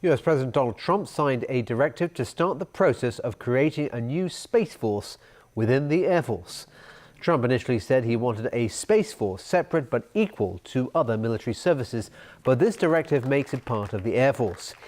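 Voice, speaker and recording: A man anchors a news broadcast in a medium-pitched voice. He delivers scripted bulletin copy. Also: expressive, moderate pace, darkish tone.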